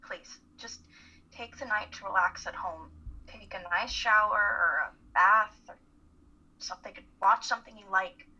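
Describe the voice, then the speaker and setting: A woman's voice: mid-range voice, unhurried, sharp tone, breathy edge, exaggerated voice. A young woman playing a caring, worried and insistent college student in a dramatic play reading. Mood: gentle concern. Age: young adult. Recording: muffled, telephone-like remote call audio.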